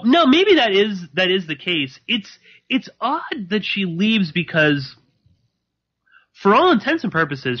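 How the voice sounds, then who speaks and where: A man hosting live radio alone, rambling and halting with many fillers, a slight sore throat in his voice. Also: high-pitched, fairly bright tone. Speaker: podcast host. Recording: dull lo-fi.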